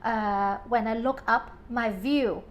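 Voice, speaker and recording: A woman's voice: on the high side, steady tone, slow pace, slight background noise. A woman from Hong Kong talking casually in an interview about her home and design, with many fillers and frequent laughs.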